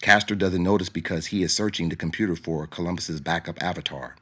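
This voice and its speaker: A man reading scripted radio drama narration in a measured, explanatory way. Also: low-pitched, background noise. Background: music.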